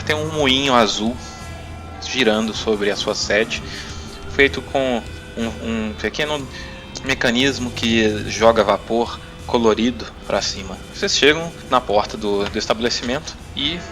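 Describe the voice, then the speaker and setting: A Brazilian man narrating a tabletop role-playing game as game master, improvising in a conversational way and thinking aloud. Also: mid-range voice. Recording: background music under the voice. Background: clear music.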